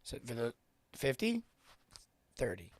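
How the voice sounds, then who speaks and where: A man in his forties hosting a casual live-stream coaching talk, joking and rambling off into asides with informal, animated banter. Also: medium pitch, unhurried, no echo. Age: 40s. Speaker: host and sales coach for plumbers.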